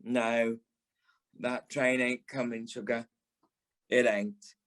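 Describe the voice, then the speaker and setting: An actor playing a sentimental, camp middle-aged British performer in an audio play, with a theatrical, affectionate manner. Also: male voice, medium pitch, flat delivery, takes it slowly, a bit bright. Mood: rueful, tender. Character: sentimental, camp older male performer, wry and fond.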